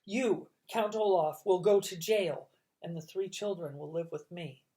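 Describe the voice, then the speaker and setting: A woman as a kind older woman judge, firm, steady, indignant yet warm, storybook reading, low voice. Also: measured pace, lightly acted.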